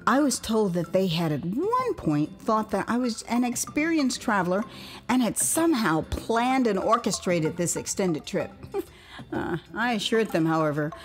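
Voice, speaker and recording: Expressive American woman telling a dramatised memoir. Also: medium pitch. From United States, Houston. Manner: theatrical, expressive delivery. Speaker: author and storyteller.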